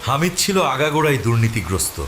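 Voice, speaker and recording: A man's voice: mid-range voice, very animated, quick pace, noisy background. A man giving dramatic scripted narration of a story. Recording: music bed under the narration. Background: prominent music.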